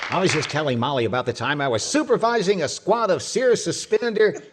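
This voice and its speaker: A man's voice: on the high side, animated, a little fast, husky. A man playing a blustery, boastful husband who spins tall tales in old-time radio comedy style. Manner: blustery, wisecracking, alliterative patter.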